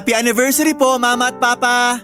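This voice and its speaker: A man's voice: very high voice, fairly even intonation, fast. A man playing a dutiful, playful eldest son who is still a student, in a family radio drama. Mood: playful, teasing. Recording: faint music bed under voice.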